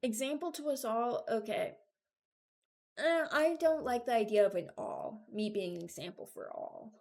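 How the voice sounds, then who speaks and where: A woman's voice: a little high, big pitch swings, moderate pace, gravelly, a little mellow. A woman giving casual reaction commentary as a video host, rambling and thinking aloud as she ranks game voice lines.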